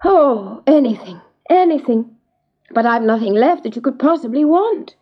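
Woman as an anxious young storybook heroine, high-pitched. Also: animated, moderate pace, highs cut off. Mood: sobbing, despairing. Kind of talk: storybook character voice.

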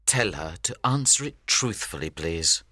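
An actor playing a wounded, accusing bridegroom in a dramatised audiobook, formal and restrained. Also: male voice, sits low, animated, measured pace, sharp tone, breathy edge, no room sound, clean studio sound, full character voice.

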